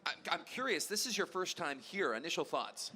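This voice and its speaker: Forceful, bright-voiced man host. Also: a little high, big pitch swings, fairly quick. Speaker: broadcast host. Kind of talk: live sports commentary and interviews.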